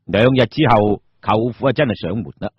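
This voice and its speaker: A man with a Cantonese accent narrating a scripted story for radio drama in an expressive, theatrical way. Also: fairly low pitch, fairly quick, husky, no echo, lo-fi sound.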